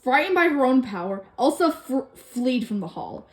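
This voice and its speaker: A woman telling a children's story from a book in an expressive way. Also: high-pitched, moderate pace. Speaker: storybook reader.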